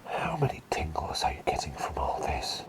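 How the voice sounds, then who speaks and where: A man playing a gentle, curious masked plague doctor in a whispered ASMR roleplay, breathy, playful and polite. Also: rumbling low, wildly swooping pitch, normal speed, full character voice. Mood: gently inquisitive. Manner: soothing, playful, polite murmuring.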